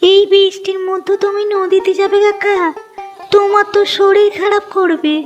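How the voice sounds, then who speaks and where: A woman's voice: very high voice, little pitch movement, normal speed, muffled recording, soft background music. A woman voicing a meek young orphan girl in a cartoon, timid and pleading. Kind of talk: Bengali cartoon dubbing.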